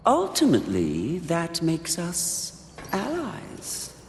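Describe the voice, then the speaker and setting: Seductive game demon: sly, mocking, unhurried, lively swoops, cavernous reverb. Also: male voice, a little high, vocal fry, full character voice. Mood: taunting, insinuating. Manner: silky, mocking, theatrical. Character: sly, seductive male demon of lust, a tempter. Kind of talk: dramatic video game voice acting. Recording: echoey hall with faint noise.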